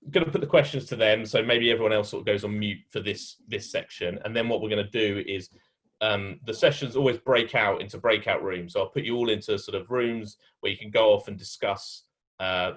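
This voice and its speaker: A man hosting an online meet-up and moderating a panel, speaking casually with many ums and restarts. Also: on the low side. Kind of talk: casual moderating on a video call.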